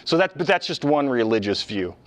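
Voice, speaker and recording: A man's voice: medium pitch, sing-song, fairly quick. A man giving an informal, conversational lecture on ancient history, explaining as he goes and stressing key words. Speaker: history lecturer, channel host.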